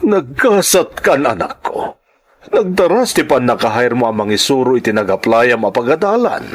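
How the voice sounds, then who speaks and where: An actor playing a proud, caring older father in an Ilocano radio drama, husky and fond, with a Filipino accent. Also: medium pitch, very animated, moderate pace. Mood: pleased.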